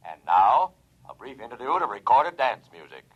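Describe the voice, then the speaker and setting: An actor playing a 1950s radio announcer within a drama. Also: male voice, mid-range voice, sing-song, moderate pace, breathy edge. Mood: formal. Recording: dull old radio broadcast, faint music.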